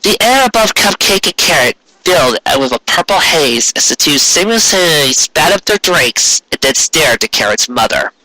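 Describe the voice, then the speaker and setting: A man reading a story aloud as an expressive narrator. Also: on the high side, moderate pace, hardly any pauses, rough recording. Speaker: narrator, fan fiction reader.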